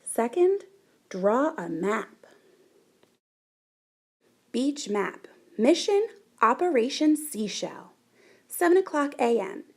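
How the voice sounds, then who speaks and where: Animated, high-pitched woman reading a storybook. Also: gravelly. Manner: animated, deliberate, dramatic emphasis. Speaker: storybook reader. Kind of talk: expressive children's picture book read-aloud.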